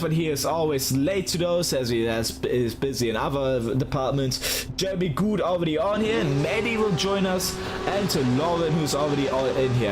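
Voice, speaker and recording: A man with a raspy voice commentating a sim racing league, talking in halting runs with lots of fillers and restarts. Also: on the high side. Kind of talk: live race commentary.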